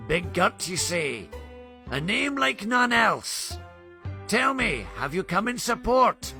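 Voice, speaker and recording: An actor voicing a sly, eccentric old cartoon creature with a theatrical, sing-song rhyming delivery. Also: male voice, high-pitched, big pitch swings, takes it slowly, vocal fry, bright, forceful tone, faint music behind, exaggerated voice. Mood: wary, probing. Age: older.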